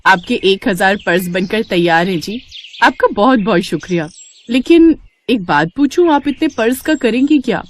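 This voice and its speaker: Young storybook heroine, female narrator acting: earnest, emotive, mid pitch, animated. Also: moderate pace, slight background noise, close to an everyday voice. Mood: amazed. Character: determined young daughter-in-law, hopeful purse maker.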